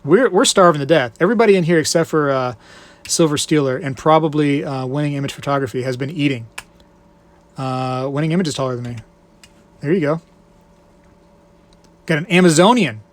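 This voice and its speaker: Chatty man with a slightly high voice. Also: brisk, long pauses, dry recording. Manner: joking, rambling, chuckles between comments. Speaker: livestream host. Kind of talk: casual live-stream chat, reading viewer comments.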